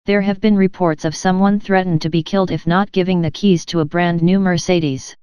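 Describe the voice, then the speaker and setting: On-scene TV reporter, played by a woman: earnest, very clean lower female voice, slightly muffled sound. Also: steady tone, moderate pace, darkish tone, clean tone, dry recording, close to an everyday voice. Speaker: field reporter. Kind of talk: acted live news report.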